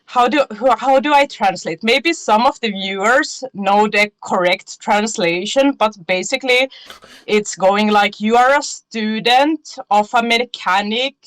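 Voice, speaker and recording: A woman from Finland with a strong Finnish accent, chatting as a guest, giggling often and pausing to search for words. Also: on the high side, a bit bright, no echo, poor audio. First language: Finnish-Swedish bilingual. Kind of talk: casual interview chat.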